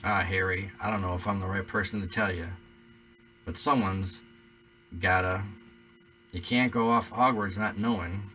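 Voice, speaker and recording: A man voicing a huge, gruff, big-hearted half-giant gamekeeper in a storybook reading, with a bright tone. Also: low-pitched, fairly even intonation, normal speed, long pauses, slight background noise, rough recording. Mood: worried. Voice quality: gruff, rough-edged, hearty.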